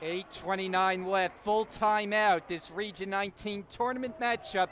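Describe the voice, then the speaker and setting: A man calling a college basketball game play-by-play, getting louder and excited on big plays. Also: high-pitched, normal speed. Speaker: play-by-play announcer. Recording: crowd and court noise behind the commentary.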